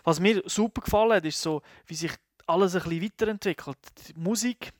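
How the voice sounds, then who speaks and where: A man talks games in a relaxed, enthusiastic review chat, his phrasing loose and rambling. His voice sits on the higher side for a man, and the recording is dry and close. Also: fairly quick, dry recording. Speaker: video game reviewer, co-host. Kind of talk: casual game review chat.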